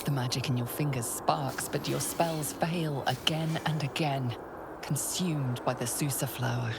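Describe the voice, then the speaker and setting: A woman's voice: extremely low pitch, steady tone, a little slow, creaky, exaggerated voice. A woman playing an ominous storyteller who narrates a fantasy video game in a portentous way. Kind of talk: scripted second-person game narration. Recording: loud background ambience noise.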